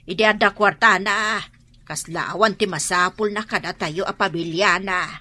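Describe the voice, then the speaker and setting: A woman's voice: medium pitch, moderate pace, sharp tone. A woman playing a plain-spoken, feisty middle-aged country wife in an Ilocano radio drama, with an Ilocano Filipino accent. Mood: reproachful.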